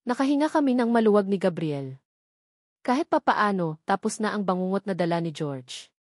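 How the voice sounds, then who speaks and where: A woman's voice: mid-range voice, animated, normal speed, no room sound. Woman narrating romance drama, dry sound. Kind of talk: scripted first-person romance drama narration.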